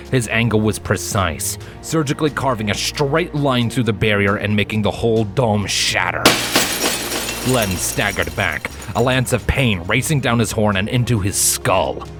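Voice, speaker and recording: A man reading a story as dramatic scripted narration, in a measured, solemn manner. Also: lowish voice. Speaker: fan fiction reader, narrator. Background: background music.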